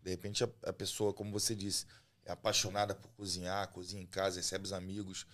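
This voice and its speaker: A man from Rio de Janeiro with a Carioca accent, a chef chatting as a guest on a podcast in a relaxed, talkative way. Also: low voice, fast. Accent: Carioca Brazilian Portuguese. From Rio de Janeiro, Brazil. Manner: relaxed, chatty, often ends phrases with a tag question. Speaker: chef and restaurant owner, guest. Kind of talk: casual podcast interview.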